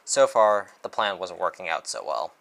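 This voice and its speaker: Noir detective narrator, male a man acting him out: low register, weary, short-tempered, dramatic storybook reading. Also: big pitch swings, moderate pace, vocal fry, a bit bright, heavily acted. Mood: world-weary, wry.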